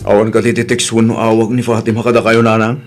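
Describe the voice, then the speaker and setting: A man's voice: on the low side, monotone, fast talker, soft background music, lightly acted. A man acting a weary, earnest husband and father in an audio drama, with an Ilocano accent and a plaintive way of talking. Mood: resigned.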